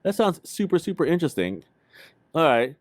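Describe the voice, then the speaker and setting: A man's voice: on the high side, sing-song, a little fast, no room sound, studio quality. A man hosting a casual livestream chat, speaking in an informal, slangy way and often repeating his phrases. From Philippines. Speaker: podcast host.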